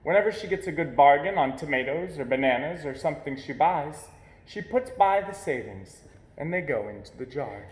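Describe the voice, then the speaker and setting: Higher-voiced man reading a storybook, roomy echo. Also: expressive, moderate pace. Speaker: reader of a children's picture book. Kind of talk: storybook read-aloud, expressive narration. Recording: echoey, roomy sound.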